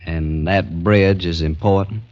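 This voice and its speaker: An actor playing a genteel Southern gentleman husband in an old radio drama, speaking in a measured, courteous, reserved way. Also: low-pitched, fairly even intonation. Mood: intent, curious. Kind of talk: 1940s radio acting. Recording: dull vintage radio recording.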